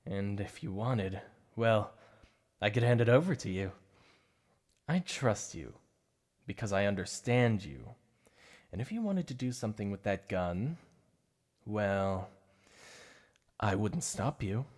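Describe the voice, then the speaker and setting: A man voicing a shy, obsessive male supervillain in an ASMR roleplay, soft-spoken and stammering over his slips of the tongue. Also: fairly low pitch, expressive, slow, pauses often. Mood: softly coaxing.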